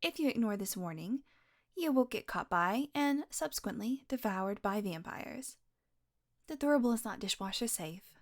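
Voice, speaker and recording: A woman's voice: fairly high pitch, lively intonation, moderate pace, lightly acted. A woman voicing a playful, predatory female vampire who teases and mocks, sometimes dropping to a whisper. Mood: mocking. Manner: playful, mocking, drifts into whispers. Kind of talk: audio roleplay.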